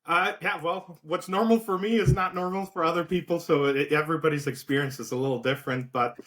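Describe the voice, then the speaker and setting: A man's voice: on the high side, fairly quick, rough recording. Casual south african man chatting loosely as an interview guest. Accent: light South African. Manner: relaxed, many fillers and restarts. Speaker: guest, outreach director.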